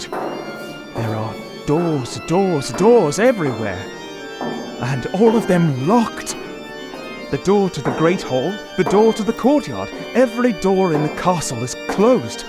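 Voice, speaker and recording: An actor playing an anxious, imprisoned gentleman recounting horrors in a dramatic vintage radio style. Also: a little high, very animated, slow. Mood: trapped, desperate. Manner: dramatic, haunted, emphatic. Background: dramatic music.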